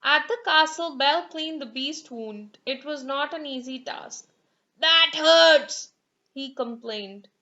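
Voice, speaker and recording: A woman narrating a children's fairy tale as an expressive, dramatic storyteller. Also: high voice, a little slow, few pauses, dull audio.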